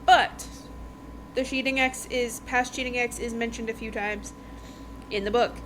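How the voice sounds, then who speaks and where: A woman with a fairly high voice hosts a casual book review podcast. She talks informally and emphatically, repeating phrases and checking that listeners follow. There is noticeable noise behind her. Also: steady tone, a little slow, a bit bright, rough recording. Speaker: podcast host reviewing books.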